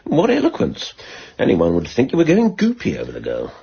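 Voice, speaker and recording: An actor playing a decent, long-suffering police detective in a radio drama, with an English accent and a dryly sarcastic manner. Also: male voice, mid-range voice, wildly swooping pitch, a little fast, vocal fry, warm tone, slightly rough, lo-fi sound, full character voice. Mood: wry. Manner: wry, mildly sarcastic.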